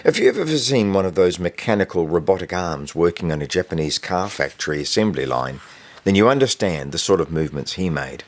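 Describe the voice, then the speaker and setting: Deep-voiced man narrating with lively intonation. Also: fairly quick. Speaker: author reading own novel excerpt. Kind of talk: scripted audiobook narration.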